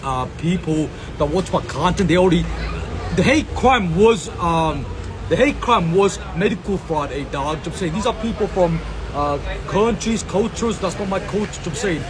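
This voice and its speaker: Man, fairly high voice, rambling slangy street vlog, lots of fillers, loud traffic noise behind. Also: expressive, normal speed. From Sri Lanka. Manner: meandering slang-filled talk with many fillers and repeated catchphrases. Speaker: content creator. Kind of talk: rambling self-filmed monologue. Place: outdoors in a public space.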